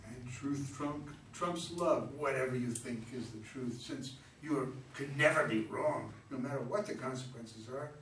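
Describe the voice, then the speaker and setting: A man's voice: mid-range voice, moderate pace, hardly any pauses, fairly bright tone, natural voice. A man playing a defensive, exasperated husband and father arguing stubbornly in a staged play reading. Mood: pleading. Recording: echoey, noisy room, distant microphone.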